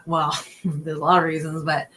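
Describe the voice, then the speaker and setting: A woman co-hosting a casual late-night chat, answering with quick affirmations and teasing her partner. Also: low-pitched, sharp tone, poor audio. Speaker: podcast co-host.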